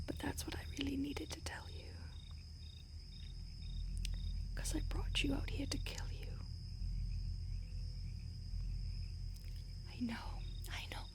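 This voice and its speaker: A woman voicing a starved, conflicted female vampire who stalks and craves her listener, speaking in a soft intimate whisper. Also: on the high side, close to an everyday voice. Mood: craving, apologetic. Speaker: audio roleplay performer. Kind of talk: whispered audio roleplay.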